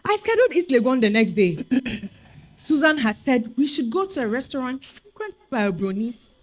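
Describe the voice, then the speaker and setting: A woman's voice: high voice, moderate pace. A woman with a Ghanaian accent playing a spirited single woman telling her dating story in a comic stage reading. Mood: wry, animated. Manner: expressive, exasperated storytelling. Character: Araba, spirited Ghanaian single woman hunting foreign men.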